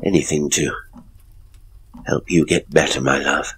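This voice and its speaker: A man voicing a secretly scheming husband with a soothing, measured manner in a horror story. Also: a bass voice, fairly even intonation, fairly bright tone, breathy edge, voice pushed down, exaggerated voice. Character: smooth-talking, secretly scheming husband of an ailing wife.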